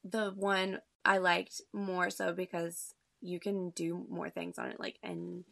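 A woman co-hosting a casual podcast, losing her voice, which sounds low and worn, telling jokey stories. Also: level tone, slow pace, gravelly, a bit bright, no echo. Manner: jokey storyteller. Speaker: podcast co-host.

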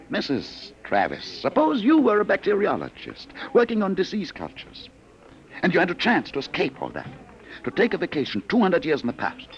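A man's voice: on the high side, rough recording. A man playing a suave, menacing government searcher who poses as a genial stranger, mock-polite and insinuating, in old-time radio drama style. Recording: vintage radio recording, faint noise.

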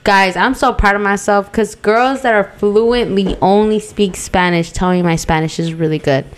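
A woman's voice: mid-range voice, steady tone, normal speed, a little hiss or hum. Young, teasing woman with a Mexican accent. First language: English. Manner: laughing, teasing and easygoing. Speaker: podcast co-host. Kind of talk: casual chat mixing English and Spanish.

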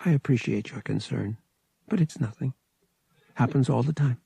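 A man voicing a guarded, soft-spoken young woman, a devout pilgrim worker, polite and reticent, in an audiobook. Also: medium pitch, normal speed, creaky, husky, no room sound, full character voice. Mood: calm, dismissive.

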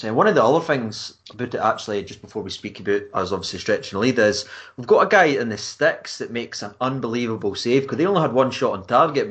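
Fast-talking football fan. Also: male voice, mid-range voice, a bit bright. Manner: rapid, enthusiastic, piles on points. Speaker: podcast guest. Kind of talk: casual football podcast chat.

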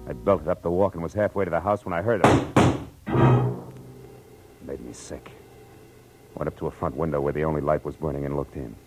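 A man's voice: rumbling low, fairly even intonation, moderate pace, pauses often, poor audio. An American man playing a tough, world-weary private detective in 1940s radio drama, clipped and matter-of-fact. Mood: grim narrating. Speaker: lead actor and narrator. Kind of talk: 1940s radio acting, first-person narration and dialogue. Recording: vintage radio drama with music.

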